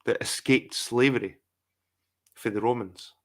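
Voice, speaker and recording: A man's voice: mid-range voice, fairly even intonation, a little slow. A middle-aged man from England, hosting a live chat stream in a profane, rambling, repetitive rant. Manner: profane, rambling, repeats himself. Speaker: livestream host. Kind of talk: casual ranting livestream chat.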